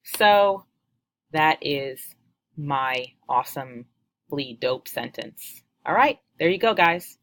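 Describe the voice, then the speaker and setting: A woman's voice: low-pitched, expressive, takes it slowly, fairly bright tone. A woman in her forties, a teacher walking students through a coding tutorial patiently and step by step, upbeat. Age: 40s. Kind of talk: screen-share coding tutorial.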